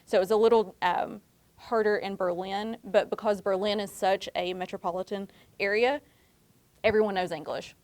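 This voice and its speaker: A woman's voice: mid-range voice, fairly even intonation, moderate pace, a little mellow. A woman on a panel, talking hesitantly with many fillers and laughing at herself. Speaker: alumni panelist. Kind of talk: panel talk.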